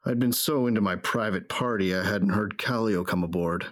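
A man's voice: fairly low pitch, normal speed, no room sound, clean studio sound, close to an everyday voice. An actor playing a grieving, hard-drinking bounty hunter who narrates his story in a subdued, weary, reflective way in an audio drama. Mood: wry, brooding. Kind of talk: first-person audio drama narration and dialogue.

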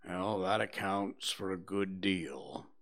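A man voicing a gloomy old male donkey in a storybook reading, speaking in a low, sighing, half-whispered drawl. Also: extremely slow, heavily acted.

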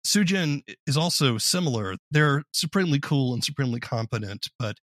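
A man's voice: medium pitch, expressive, brisk, fairly bright tone, no echo, clean studio sound. A man interviewing a guest on a podcast in a conversational style.

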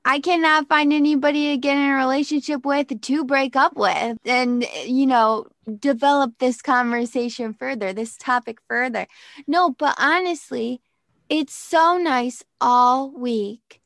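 Creaky-voiced young American woman, high-pitched. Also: a little slow, fairly bright tone, no room sound. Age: 30s. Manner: self-deprecating, wryly exaggerating. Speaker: podcast co-host. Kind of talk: casual chat.

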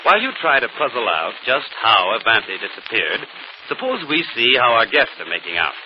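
A male actor's clever, unruffled detective from 1930s radio. He speaks with polish in a medium-pitched voice. The recording is dull, with faint noise. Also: very animated, brisk, close to an everyday voice. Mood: courteous hosting. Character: composed, clever young male amateur detective. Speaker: detective and quiz host. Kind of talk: 1930s radio acting and hosting.

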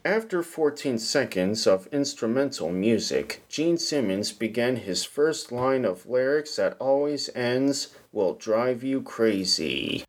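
Slow-paced man reading a script. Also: medium pitch, lively intonation, over loud music. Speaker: podcast host. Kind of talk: scripted narration.

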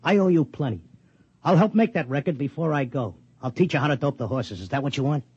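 An actor playing a stubborn, streetwise horse-racing clocker who narrates in a plain, wry, tough-talking way in a 1950s radio drama. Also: male voice, mid-range voice, animated, fast, darkish tone, slightly rough. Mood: determined. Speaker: narrator and lead character. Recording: dull 1950s radio broadcast with music.

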